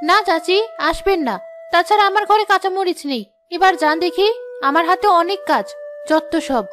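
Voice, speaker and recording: A woman playing a hot-headed young village wife and mother in a cartoon drama, sharp and accusing, firing off rhetorical questions. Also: very high voice, moderate pace, soft background music. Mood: curt, rude. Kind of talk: Bengali cartoon drama acting.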